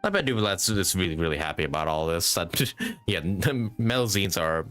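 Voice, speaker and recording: Low-pitched male streamer, lively intonation, casual rambling reactions to a game over soft music. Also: brisk. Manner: hesitant, rambling, with frequent chuckles. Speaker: live streamer playing a game.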